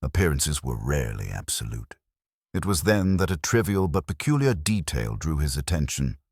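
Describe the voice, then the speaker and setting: A man narrating a scripted detective story in a measured, literary storytelling manner. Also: extremely low pitch, big pitch swings, a little mellow, husky, dry recording, clean studio sound.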